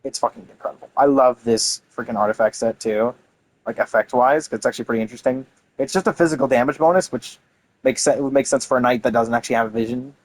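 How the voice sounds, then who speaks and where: A man's voice: mid-range voice, steady tone, quick pace, poor audio. A man reading game lore aloud and commenting casually and enthusiastically, with some casual swearing. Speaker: gaming content creator.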